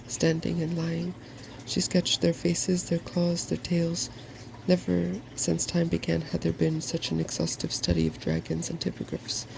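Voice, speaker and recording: A soft-spoken narrator gently reading a fairy tale in an ASMR style. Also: medium pitch, vocal fry, slight background noise, poor audio.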